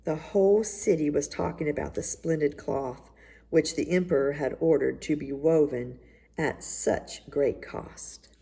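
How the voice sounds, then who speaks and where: A woman reading a children's fairy tale aloud in an expressive storytelling style. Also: sits low, a little slow, gravelly, slightly rough. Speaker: storybook reader.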